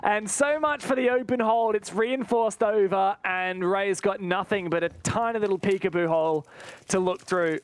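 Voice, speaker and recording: A man's voice: sits high, normal speed, clean tone. A man giving live esports play-by-play commentary with dramatic, colloquial phrasing.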